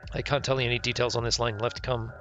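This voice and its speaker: A man's voice: medium pitch, little pitch movement, racing, a bit bright, lo-fi sound, close to an everyday voice. A man voicing an earnest, insistent police lieutenant from a visual novel, music behind him. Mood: urgent. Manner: deferential, insistent. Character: earnest, apologetic police lieutenant pressing the boss.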